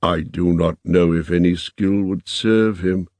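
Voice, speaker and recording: A man voicing a sad, solemn old lord in a slow, grave, measured manner for a story. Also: low voice, steady tone, a little mellow, no echo.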